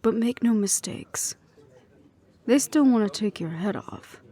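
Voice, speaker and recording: A woman playing a wry, affectionate ex-hunter in an intimate audio roleplay, speaking softly and teasingly, with breathy asides. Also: medium pitch, expressive, a little slow. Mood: weary, earnest. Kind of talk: intimate one-sided audio roleplay acting.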